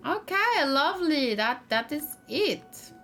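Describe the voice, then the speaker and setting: A woman's voice: high voice, very animated, slow pace, pushed and bright, faint music behind. A woman streaming a let's play, giving casual, chatty commentary and musing aloud as she goes. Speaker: gaming streamer.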